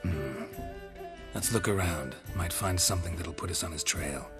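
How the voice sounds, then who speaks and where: A man playing a stoic, world-weary monster hunter in a video game, speaking in terse deadpan lines with a gruff, gravelly voice. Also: sits low, normal speed. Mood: matter-of-fact. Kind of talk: video game cutscene acting. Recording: music behind the dialogue. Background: clear music.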